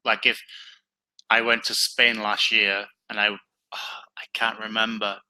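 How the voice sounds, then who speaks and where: Slow, bright-voiced man chatting. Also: fairly low pitch, rough recording. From England. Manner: relaxed, chatty, tells stories slowly. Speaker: online English tutor. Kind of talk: casual conversation practice.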